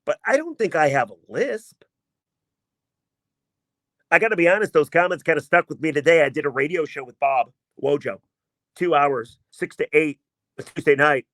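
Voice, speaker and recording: A man hosting a sports podcast, talking in a casual, emphatic and self-mocking way, with a voice he himself calls not deep. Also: lively intonation, moderate pace, pauses often, a bit bright, no room sound, studio quality. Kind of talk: casual sports commentary monologue.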